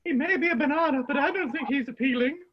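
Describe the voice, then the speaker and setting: A woman's voice: high-pitched, fast, muffled recording, close to an everyday voice. A woman playing a scolding, dramatic widowed mother in a rhyming pantomime, with an exaggerated comic delivery. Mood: nosy, comic.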